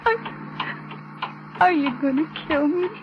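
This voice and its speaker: An actress playing a frightened but defiant young working woman held captive, in 1940s radio drama style, with tense, halting delivery. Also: sits high, expressive, background noise. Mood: bleak dread. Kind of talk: 1940s radio drama acting and first-person narration. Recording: dull 1940s radio recording with music.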